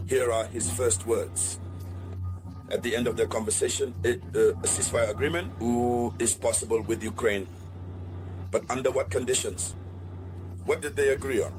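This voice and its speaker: Deliberate male online news analyst. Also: medium pitch, fairly even intonation, background noise. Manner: deliberate, repeats and rephrases key phrases. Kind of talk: political news analysis addressed to viewers.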